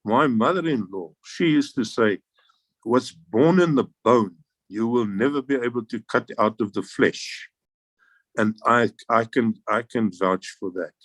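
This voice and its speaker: A man's voice: medium pitch, lively intonation, measured pace, fairly bright tone, dry recording, rough recording. An older South African man, a veteran guest, reminiscing in a rambling way with frequent restarts and chuckles. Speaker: interview guest, air force veteran. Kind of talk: relaxed reminiscing.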